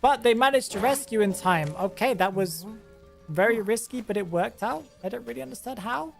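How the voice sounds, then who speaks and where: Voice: medium pitch, lively intonation, fairly quick, gravelly, soft background music. A host giving casual, chatty commentary on gameplay, thinking aloud and often trailing off to restart a sentence. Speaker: game commentator and channel host.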